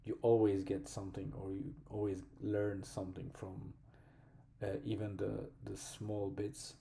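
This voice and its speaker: A man with a Polish accent talks through a personal vlog in a low, very dark and mellow voice. He speaks slowly and thoughtfully, often stopping to search for the right word. The delivery stays calm and reflective throughout. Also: gravelly. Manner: slow, thoughtful, pausing to find words. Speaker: vlogger.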